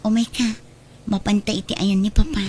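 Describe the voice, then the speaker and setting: A woman's voice: mid-range voice, fairly even intonation, a little fast, a little mellow, a little hiss or hum, natural voice. A woman playing an affectionate grown daughter in a radio drama, pleading and coaxing like a playful matchmaker.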